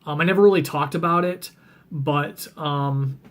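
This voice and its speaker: A man chatting casually about books he plans to read, rambling with lots of ums and restarts, his voice getting rough and scratchy. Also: on the high side, unhurried, a bit bright. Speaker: book vlogger.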